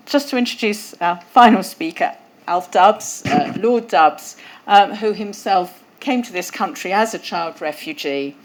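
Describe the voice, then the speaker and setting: A woman hosting a museum event and introducing speakers, talking conversationally with some fillers into a lapel mic. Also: medium pitch. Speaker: event host. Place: event hall.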